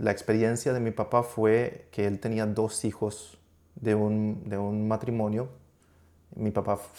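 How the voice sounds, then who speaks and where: A middle-aged man from Costa Rica, a guest talking about his documentary in a casual interview, often pausing and restarting his sentences. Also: on the low side, warm tone. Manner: hesitant, many restarts and fillers. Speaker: guest, documentary filmmaker and media professor.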